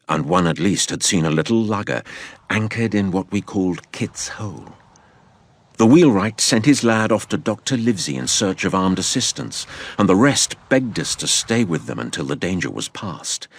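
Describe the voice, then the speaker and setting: Man as a very deep-voiced, grave storyteller. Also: dry recording. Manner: measured, literary storytelling. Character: grown man gravely recounting his boyhood pirate adventure. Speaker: narrator. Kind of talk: scripted dramatic narration.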